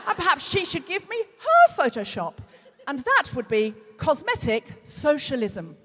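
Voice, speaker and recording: A woman's voice: sits high, huge pitch swings, measured pace, background noise. A woman hosting a live comedy show, telling dry, self-mocking stories to a big audience. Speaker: podcast host. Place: large concert hall before an audience. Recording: live hall recording with long reverb.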